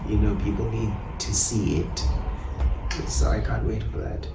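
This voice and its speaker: A South African man talking reflectively in an interview, hesitant and animated, often repeating himself. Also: lowish voice, a little slow, creaky. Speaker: playwright and director being interviewed. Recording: roomy, distant mic with faint noise.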